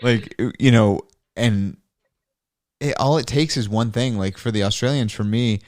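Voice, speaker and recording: A man's voice: medium pitch, unhurried. A middle-aged man hosting a podcast, chatting casually, swearing freely and laughing often. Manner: laid-back, swears casually, laughs a lot. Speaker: podcast host. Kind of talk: casual chat.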